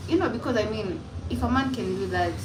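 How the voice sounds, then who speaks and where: Kenyan woman, young, emphatic livestreamer, echoey room, clear room noise behind. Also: on the high side, animated, brisk. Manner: defiant, emphatic, repeats herself. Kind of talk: casual livestream monologue. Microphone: phone.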